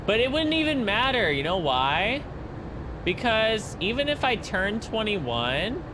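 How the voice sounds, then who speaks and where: A man's voice: high voice, very animated, a little slow, background noise. A man in his early twenties chatting casually with his stream viewers while flying, with rambling asides. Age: 20s. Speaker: flight sim streamer.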